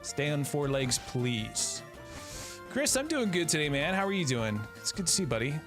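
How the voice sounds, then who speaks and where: A relaxed man in his thirties talks casually while playing on a live stream. His voice sits a little high, and he keeps up a chatty back-and-forth with his viewers over soft background music. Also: normal speed. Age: 30s. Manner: laid-back, chatty, greets and answers chat viewers. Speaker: game streamer.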